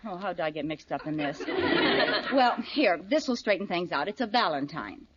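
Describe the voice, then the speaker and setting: An actress playing a wry, easily flustered housewife in an old radio sitcom, with exclamatory comic timing, on an old recording. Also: female voice, fairly low pitch, normal speed. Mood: flustered, exasperated. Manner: animated, exclamatory comic delivery. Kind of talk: 1940s-50s radio sitcom acting. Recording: muffled noisy old radio recording, studio audience.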